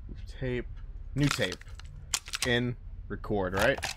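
A man's voice: medium pitch, takes it slowly. Man giving casual game commentary over noise. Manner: talks back to game characters, thinks aloud. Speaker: gaming video host. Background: game ambience.

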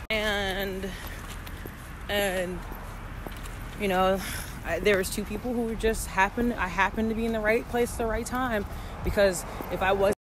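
A young adult woman talking casually into a phone held at arm's length, as in a video diary. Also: medium pitch. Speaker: vlogger and aspiring singer. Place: mostly outdoors on the street, also in a car. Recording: phone audio with outdoor and vehicle noise.

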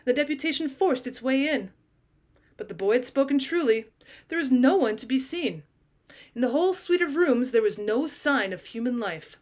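A woman reading a classic short story aloud as scripted narration, expressive and deliberate, with emphasis on key phrases. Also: high-pitched. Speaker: teacher reading audiobook.

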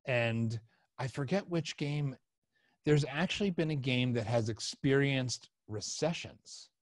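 A man's voice: medium pitch, unhurried, no room sound, studio quality. Casual middle-aged man hosting a podcast. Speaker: podcast host. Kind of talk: casual conversational podcast interview. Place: small room with couch, indoors. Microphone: close podcast microphone on boom, headset.

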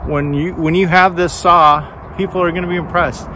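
An older man with a fairly high voice, chatting outdoors about a tool in a friendly, rambling way. He often stumbles and repeats words. Faint outdoor noise sits behind his voice on the camera mic. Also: steady tone, normal speed. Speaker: vlogger reviewing a tool. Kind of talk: casual product demo. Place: outdoors on a farm field.

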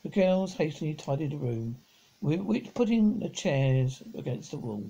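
A middle-aged man reads a children's novel aloud in a raspy, mellow voice. His pitch sits a little high and swings about freely. The delivery is halting, with stumbled and repeated words. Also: very animated, highs cut off. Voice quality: husky, gravelly. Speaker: amateur audiobook reader. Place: living room at home, on a sofa.

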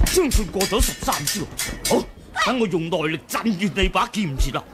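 An actor playing a proud, menacing martial-arts master in a Hong Kong Cantonese radio drama, haughty and mocking. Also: male voice, high-pitched, animated. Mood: seething. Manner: haughty, mocking, drawn-out delivery. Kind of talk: 1980s radio drama acting. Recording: 1980 radio drama with music behind.

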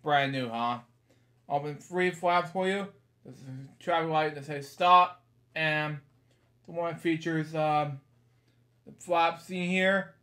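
A man's voice: a little high, slow, long pauses, bright, forceful tone. A man in his thirties reading a picture book aloud in a small bedroom, rambling with casual asides and stock phrases.

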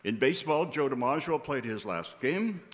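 Man, seventies, American accent, stage announcer, animated pitch, measured emphatic reading, big echoing theater. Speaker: announcer introducing a stage show. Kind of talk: prepared stage introduction read from notes. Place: on stage in a large indoor theater. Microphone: vintage-style microphone on a stand. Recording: reverberant theater hall.

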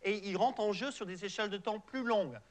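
A man's voice: sits high, a little fast. A middle-aged man from Canada, a native English speaker with an English-Canadian accent, giving a popular science lecture in a large auditorium, explaining patiently with frequent fillers and restarts. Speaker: researcher giving a public lecture.

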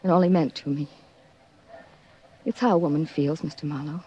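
An actress playing a lovelorn, pleading married woman in a 1940s radio melodrama, halting and earnest. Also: low-pitched, fairly even intonation, dark tone, husky. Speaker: supporting actress. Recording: dull old 1940s radio recording.

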